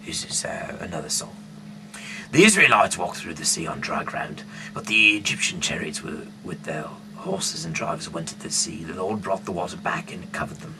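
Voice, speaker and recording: A man with a deep, often hushed voice reads scripture aloud informally, with halting, casual asides. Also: lively intonation. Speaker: host reading the Bible aloud. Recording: noisy amateur recording with hum.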